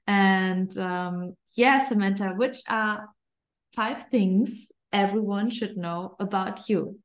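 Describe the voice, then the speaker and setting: A woman's voice: medium pitch, slow. A woman hosting a podcast interview, speaking hesitantly and searching for her words. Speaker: podcast host.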